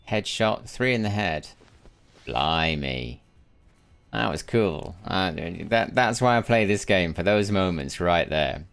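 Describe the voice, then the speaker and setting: Tired man, very deep voice, casual game-stream chatter that repeats and restarts words. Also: gravelly. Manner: chatty, muses aloud, often repeats words while restarting. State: tired after a sleepless night, voice lower than usual. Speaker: gaming streamer. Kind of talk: casual live gameplay commentary.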